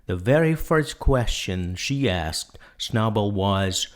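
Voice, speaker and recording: Male audiobook narrator, fairly deep, delivering a dramatic, measured literary reading. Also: expressive.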